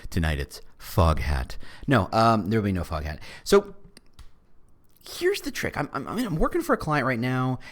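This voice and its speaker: A man's voice: mid-range voice, wildly swooping pitch, moderate pace. A middle-aged man hosting a casual solo podcast, rambling with frequent restarts and asides. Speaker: podcast host.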